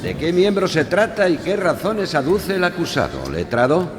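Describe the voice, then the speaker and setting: Stern courtroom judge, mid voice that really swings, booming hall echo. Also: male voice, very animated, normal speed, a crowd in the background. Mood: commanding. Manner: authoritative, declamatory. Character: stern, commanding trial judge. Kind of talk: audio drama courtroom acting. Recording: echoing hall with music behind.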